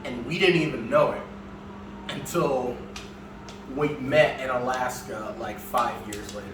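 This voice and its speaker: A man's voice: mid-range voice, measured pace, background noise. A man talking candidly as a podcast guest, earnest and reflective, swearing now and then. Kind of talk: candid conversation. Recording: echoey room, far from mic, music behind.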